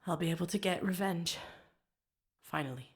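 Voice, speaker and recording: An actress playing an embittered, vengeful masked assassin in a video game. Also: female voice, lowish voice, normal speed, very noisy, natural voice. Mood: cold, vengeful. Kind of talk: dramatic game cutscene acting and narration. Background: music.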